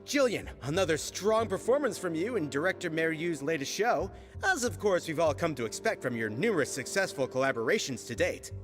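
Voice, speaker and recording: A man's voice: fairly high pitch, very animated, fairly quick. A man voicing an eager male reporter who fires questions. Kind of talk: game voice acting. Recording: game dialogue with soft music behind.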